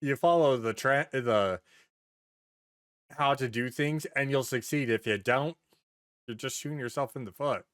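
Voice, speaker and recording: A man with a mid-pitched voice chats casually as a podcast host. He is informal and emphatic and swears freely. The recording is dry, with little room sound. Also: a little slow, long pauses, a bit bright, clean tone, no echo. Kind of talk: casual pop-culture chat.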